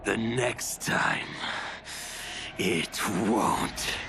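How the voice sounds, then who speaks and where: An actor playing a bloodthirsty villain lord in a video game, savoring words with theatrical, gloating relish. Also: male voice, lowish voice, drawn-out words, heavy background noise. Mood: menacing.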